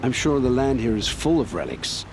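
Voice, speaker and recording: An actor playing a world-weary, evasive scavenger scout in a video game cutscene, with a dismissive, guarded manner. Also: male voice, mid-range voice, big pitch swings, moderate pace, noisy background.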